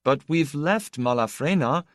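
Man voicing a proud, awkward young man, lively intonation. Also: a little high, measured pace, no room sound, studio quality, pitched above the performer's own voice. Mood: bitter, resigned. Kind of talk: audiobook character dialogue.

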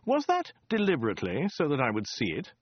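A man's voice: fairly high pitch, huge pitch swings, fast talker, no room sound, lo-fi sound. A man voicing a dry, polite and slightly nervous Englishman. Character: wry, nervous Englishman abroad. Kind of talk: audiobook character voice.

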